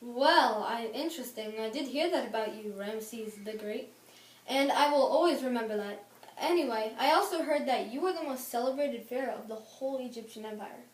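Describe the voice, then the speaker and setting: A woman's voice: a little high, normal speed. A woman hosting a role-play interview in a cheerful, upbeat presenter manner, recorded in an echoey room from a distance. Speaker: interviewer host.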